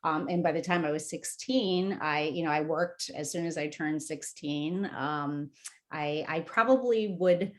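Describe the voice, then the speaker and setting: A woman with a low voice talks casually on a panel. She is plain-spoken and a little hesitant as she looks back on her own life. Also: measured pace. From New York City, United States. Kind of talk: casual personal recollection.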